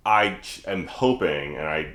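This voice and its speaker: A low-pitched man speaks as a podcast co-host in a casual, analytical way. He thinks aloud and carefully qualifies his points. The sound is clearly roomy and echoey. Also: lively intonation, fairly bright tone. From United States. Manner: hedging, measured, thinks aloud.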